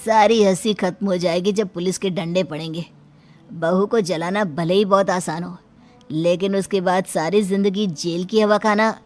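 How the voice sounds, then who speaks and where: A woman's voice: on the low side, steady tone, normal speed, a little mellow, lightly acted. A woman voicing a stern, suspicious hospital doctor who admonishes people, in a cartoon moral story. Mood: stern warning. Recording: music under the voice.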